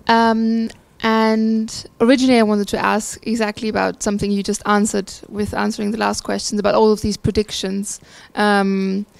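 A woman's voice: mid-range voice, little pitch movement, normal speed, gravelly, few pauses. A young woman from Austria, a student asking an earnest question at a seminar into a handheld mic. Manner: earnest, with many fillers. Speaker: student discussant. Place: lecture room.

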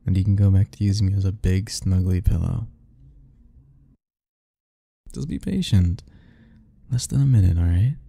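A man's voice: sits low, normal speed, creaky, pauses often, mellow, slightly rough. A young man speaking a playful, teasing ASMR roleplay straight to the listener, laughing often between lines.

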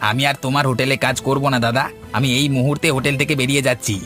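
A man's voice: mid-range voice, little pitch movement, rapid-fire, a bit bright, faint music behind, close to an everyday voice. A man playing an eager, outspoken young eatery worker in a cartoon. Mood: defiant. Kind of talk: Bengali cartoon dialogue.

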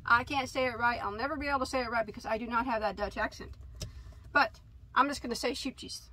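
A woman's voice: fairly high pitch, steady tone, fast, sharp tone, a little hiss or hum, poor audio. A woman in her thirties from Illinois, hosting a casual yarn haul chat in a craft room, enthusiastic and laughing at herself. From Illinois, USA. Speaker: crochet channel host.

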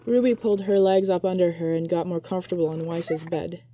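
A woman's voice: on the low side, fairly even intonation, fairly quick, mellow. A woman reading scripted narration of a fan fiction story as its narrator.

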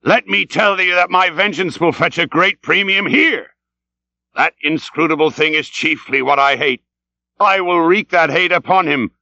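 A man's voice: high voice, gravelly, sharp tone, no room sound, muffled recording, pitched above the performer's own voice, exaggerated voice. A man voicing an old, obsessed whaling captain in an audiobook, fierce and commanding, with vehement, archaic, declamatory speech. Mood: wild, defiant.

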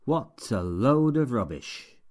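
Man voicing a contemptuous barber boss, sarcastic, bossy, raised pitch. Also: very animated, slow, a little mellow. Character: sour, contemptuous older male barber-shop boss.

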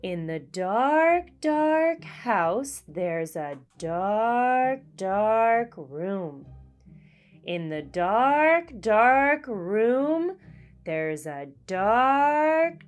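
A woman voicing a female cat teacher telling a storybook ghost story, hushed, slow and suspenseful. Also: fairly high pitch, very animated, soft background music, heavily acted. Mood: eerie suspense.

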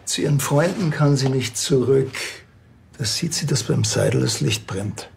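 A man with a Bavarian accent playing a gruff, wry, impatient police officer in a TV crime comedy, blunt and colloquial. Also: medium pitch, moderate pace, a little mellow, a little hiss or hum. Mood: scheming. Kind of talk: scripted TV comedy crime drama acting.

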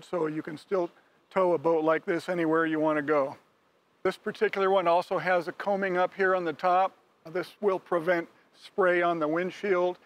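An older man as a salesman, walking through a boat in a large indoor showroom with a clip-on mic, talking in a friendly, plain way. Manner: friendly, plain sales pitch with some fillers. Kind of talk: product walkthrough.

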